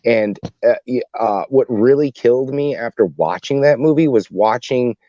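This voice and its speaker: Man, dark mellow tone, rambling podcast talk, halting phrasing, dull dry recording. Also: medium pitch, no echo. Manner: halting, rambling, rephrases repeatedly. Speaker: podcast panelist. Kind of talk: casual opinion chat.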